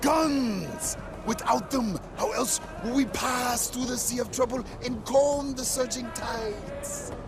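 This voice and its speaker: A man's voice: extremely high pitch, takes it slowly, hardly any pauses, exaggerated voice. A man playing a zealous, eccentric soldier preacher in a video game, grandiose and emphatic in his sermonizing. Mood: fervent. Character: zealous gunrunning preacher, eccentric war-hungry male soldier. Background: music.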